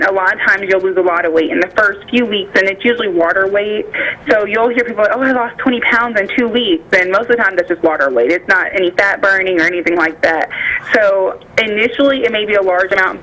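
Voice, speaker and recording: An American woman speaking by phone as a nutritionist guest, explaining things informally and illustrating points with imagined quotes. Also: mid-range voice. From United States. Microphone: phone call. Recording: bright voice over phone line.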